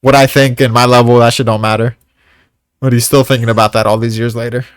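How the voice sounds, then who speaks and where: A man's voice: medium pitch, flat delivery, quick pace, smooth, no room sound, studio quality. A man chatting casually as co-host of a discussion show, rambling along in profane, slangy talk. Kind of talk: casual chat.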